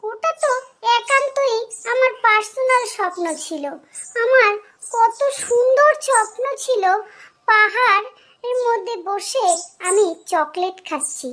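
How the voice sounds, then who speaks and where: A woman's voice: very high voice, measured pace, bright, forceful tone, exaggerated voice. A woman voicing a plaintive young boy in a children's cartoon, speaking in a repetitive, childlike way. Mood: upset, pleading. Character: whiny young boy who loves chocolate dreams.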